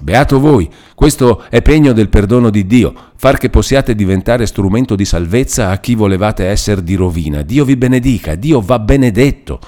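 A man's voice: sits low, expressive, normal speed, warm tone. A man reading a classic novel aloud, voicing a kind elderly cardinal who speaks solemnly and tenderly. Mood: eager.

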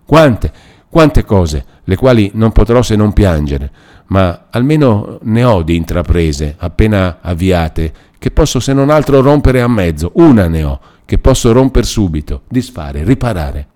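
A man's voice: low voice, measured pace, mellow. A man reading a classic novel aloud, voicing an anguished, repentant nobleman who pleads through tears. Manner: emotional, pleading.

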